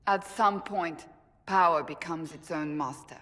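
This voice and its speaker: A woman playing a stern, principled warrior in a fantasy game, speaking gravely and formally, shaken by betrayal. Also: low-pitched, pushed and bright, big-room sound. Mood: troubled. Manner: grave, formal, deliberate phrasing. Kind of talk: dramatic fantasy game acting.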